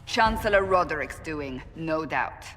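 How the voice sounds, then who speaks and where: An actress playing a stern, dry, commanding female warrior in a video game, speaking formally and bluntly with deliberate phrasing. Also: deep voice, lively intonation, a bit bright, slight background noise. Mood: brisk, formal. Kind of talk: video game dialogue acting. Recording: long hall reverb.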